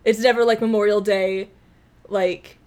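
A woman's voice: mid-range voice, level tone, unhurried, darkish tone. A young woman co-hosting a podcast, chatting casually and teasing her friend, laughing often. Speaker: podcast co-host. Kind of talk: casual chat. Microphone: podcast microphone with spiking levels.

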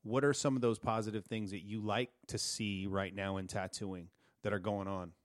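A man hosting a casual podcast interview, relaxed and encouraging, asking easygoing questions. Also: fairly low pitch, moderate pace, dry recording, clean studio sound. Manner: laid-back, encouraging, brief interjections. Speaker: podcast host.

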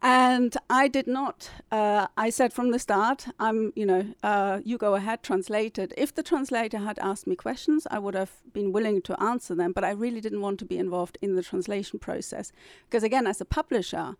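A woman's voice: mid-range voice, normal speed, no echo. Thoughtful German-born woman, dry sound. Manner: thoughtful, with many restarts and fillers. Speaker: publisher and novelist. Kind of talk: interview answers.

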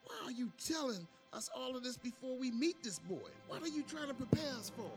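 A man's voice: very high-pitched, lively intonation, fairly quick, music in the background. An actor playing an indignant middle-aged father and megachurch pastor in an audio drama, exclaiming and scolding. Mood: exasperated.

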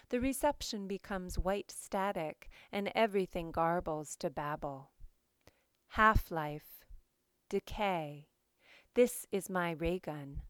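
A woman reads in a literary, scripted style, with slow and deliberate phrasing. Her voice is clear and smooth, sitting at a medium pitch. The sound is dry, with very little room around it. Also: no room sound. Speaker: poetry show host and reader. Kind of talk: literary poetry reading, scripted introduction.

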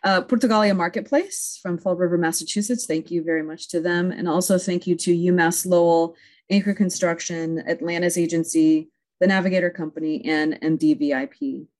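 A woman's voice: fairly low pitch, steady tone, fairly quick, a little mellow. A woman hosting a virtual conference panel over a video call, friendly and talking with frequent fillers. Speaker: conference moderator.

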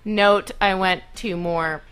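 A woman's voice: mid-range voice, steady tone, slow, a bit bright, soft background music. A young adult woman speaking candidly in a casual podcast chat, earnest with laughs and fillers.

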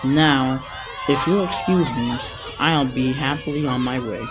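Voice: medium pitch, measured pace, lightly acted. A young amateur actor playing a cheeky, pugnacious walking punch bowl in a school radio play. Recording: noisy recording with crowd behind.

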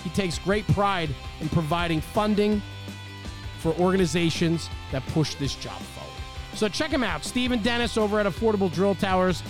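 A man with a slightly high voice reads sponsor spots in a bouncy, sing-song way. A clear music bed plays under his voice throughout. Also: sing-song, moderate pace. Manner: upbeat, sing-song promotional delivery. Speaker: podcast host.

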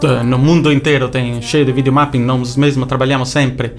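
A man's voice: mid-range voice, level tone, a little fast, faint music behind. A man from Italy speaking as an interview guest in non-native speech, with an Italian accent touched by Brazilian, explaining his work. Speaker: interview guest, visual show creator.

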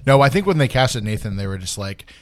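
A young man co-hosting a casual talk show, bantering in a slangy, sweary, halting way. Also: medium pitch, very animated. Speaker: podcast co-host.

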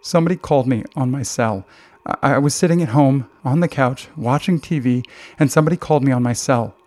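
A man's voice: mid-range voice, moderate pace, creaky, warm tone, dry recording. Man voicing a frantic, breathless, skittish mother. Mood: panicked, breathless. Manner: breathless, skittish, stammering, words tumbling. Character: frightened, frantic mother of a college student.

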